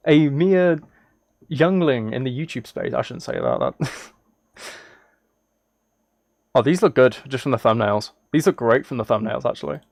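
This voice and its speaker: A man's voice: a little high, creaky, no echo, clean studio sound. A man livestreaming casually, reacting and chatting in an easily sidetracked, self-deprecating way. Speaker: live streamer. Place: indoors at a streaming setup.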